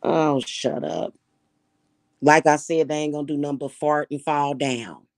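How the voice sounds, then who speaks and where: A woman with a Missouri accent speaks in a fairly low voice, blunt and scornful as she talks straight to her viewers. Her tone is mocking and sure of itself. The sound is dry and close, with no room echo. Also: steady tone, a little slow, darkish tone. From Kansas City, Missouri. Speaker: spiritual practitioner and channel host. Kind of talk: casual monologue to viewers.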